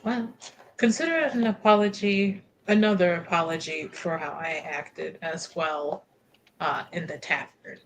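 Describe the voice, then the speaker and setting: Slow-paced woman roleplaying at game table. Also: on the low side, slight background noise, low-quality recording. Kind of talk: casual improvised in-character roleplay.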